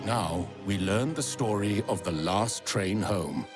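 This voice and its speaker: An actor playing a stern, seasoned army major who narrates, speaking in a measured, formal way. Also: male voice, fairly low pitch. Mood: solemn. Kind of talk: scripted game voice-over. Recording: game audio with music behind.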